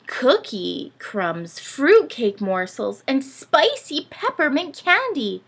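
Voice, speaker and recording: A woman reads a children's picture book aloud with very animated intonation. Her pace is unhurried, with drawn-out dramatic phrases, and her voice has a gravelly creak. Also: sits high. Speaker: children's story reader.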